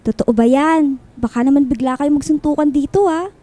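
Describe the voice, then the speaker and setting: An actress plays a breezy young woman in a radio drama, teasing yet concerned. Her voice is high and mellow. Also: moderate pace, lightly acted. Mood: teasing, curious.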